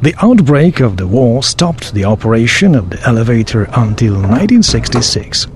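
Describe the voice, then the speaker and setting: A man's voice: mid-range voice, sing-song, normal speed, background noise, natural voice. A man playing a knowledgeable, obliging local tour guide in a scripted audio drama, speaking in a formal, presentational way. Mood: informative, obliging.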